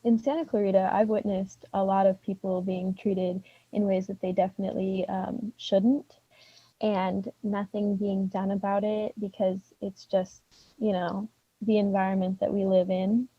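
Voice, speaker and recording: A woman's voice: medium pitch, flat delivery, unhurried, slightly rough, no room sound. A twenty-three-year-old woman answering an interview earnestly, with frequent fillers, on dull lo-fi audio.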